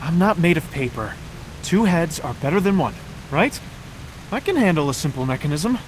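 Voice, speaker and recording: An actor playing a good-natured, gently stubborn young man in a video game, halting and wry, with music behind him. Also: a little high, very animated, a little hiss or hum, poor audio. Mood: reassuring. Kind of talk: video game cutscene acting.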